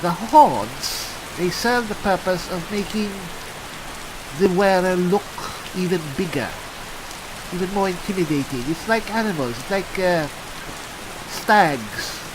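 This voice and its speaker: A man playing a chatty, pompous adventuring cleric in an improvised fantasy game roleplay, halting and musing theatrically with dry humour. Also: sits high, animated, background noise. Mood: musing, amused. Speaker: gamer playing a character.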